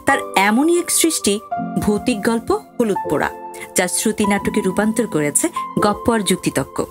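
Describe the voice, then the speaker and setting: A woman presents an audio drama from a prepared script, her pitch moving with lively swings. Loud music plays along with her narration throughout. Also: normal speed. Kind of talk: scripted literary introduction. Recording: loud music bed under the voice.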